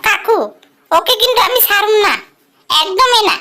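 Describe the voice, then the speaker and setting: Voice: very high-pitched, expressive, normal speed, bright, forceful tone, exaggerated voice. A performer with a woman-like voice plays a whiny, stubborn little mouse child in a cartoon dub, pleading in a childlike way. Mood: indignant.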